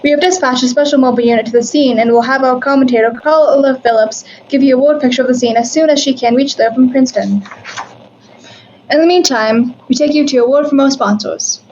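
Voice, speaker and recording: An actress playing a brisk, formal female studio announcer reading bulletins in a 1930s-style radio drama. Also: high voice, darkish tone, muffled recording, slight background noise, close to an everyday voice. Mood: businesslike.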